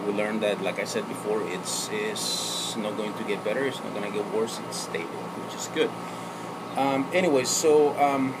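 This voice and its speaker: Rambling man over loud noise. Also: lowish voice. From El Salvador. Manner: rambling, many fillers and self-corrections. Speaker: vlogger. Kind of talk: casual vlog explainer.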